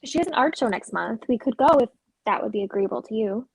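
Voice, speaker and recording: A woman playing an earnest, gentle, conscientious character in a dramatic play reading. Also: a little high, animated, fast talker, creaky, breathy edge, dry recording, heavily acted, rough recording. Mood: hopeful.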